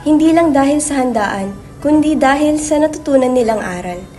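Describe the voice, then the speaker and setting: A woman's voice: high voice, animated, moderate pace, dark tone, faint music behind. A woman giving scripted narration as the storyteller of a radio drama.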